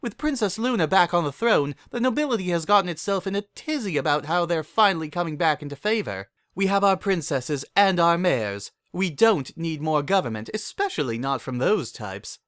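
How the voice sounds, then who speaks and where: A narrator voicing a refined, dramatic, motherly female cartoon unicorn with a genteel, affected, drawn-out delivery. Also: medium pitch, expressive, fairly bright tone, dry recording, clean studio sound, pitched above the performer's own voice, natural voice. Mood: dismissive.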